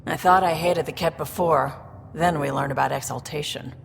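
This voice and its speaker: An actress playing a tough, guarded alien smuggler in a video game, speaking in a dry, matter-of-fact way. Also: female voice, deep voice, steady tone, normal speed, creaky, in a large hall, noisy background. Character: tough, guarded female alien smuggler, pragmatic older sister. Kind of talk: video game character acting.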